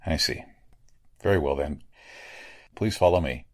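A man's voice: extremely low pitch, measured pace. A man acting a polite, evasive, grave morgue doctor in a 1940s-style radio drama, hesitant and formal. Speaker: actor in an audio drama.

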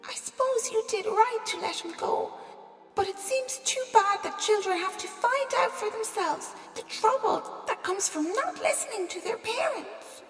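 A woman voicing a gentle, worried mother bat in a storybook. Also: very high voice, animated, a little slow, voice pushed up. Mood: fretful. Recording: echoey room, soft music.

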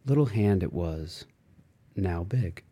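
A man reading a literary novel aloud in a measured, expressive way with dramatic phrasing. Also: low-pitched, slightly rough. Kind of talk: literary audiobook narration.

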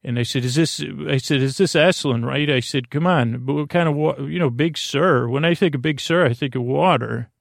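A man's voice: medium pitch, brisk, vocal fry, few pauses, no room sound, studio quality. A man hosting a silly bedtime podcast in a rambling monologue, hesitant and often trailing off mid-thought. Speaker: podcast host.

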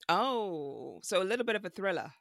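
A woman's voice: mid-range voice, sing-song, moderate pace, fairly bright tone, studio quality. A woman hosting a casual interview, upbeat and chatty as she asks questions and echoes back her guest's words. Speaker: interview host.

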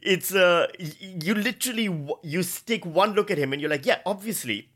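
A man from Pakistan, a guest writer on a comedy podcast, chatting casually in an animated, joking, sweary way. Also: high voice, moderate pace. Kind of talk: casual comic banter and anecdotes.

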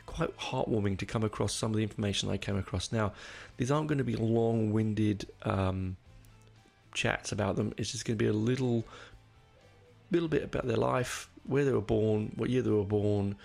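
A man hosting a music podcast in a casual, rambling way, his voice croaky from a cold. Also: lowish voice, moderate pace, a little mellow. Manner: rambling, many ums and restarts. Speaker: podcast host.

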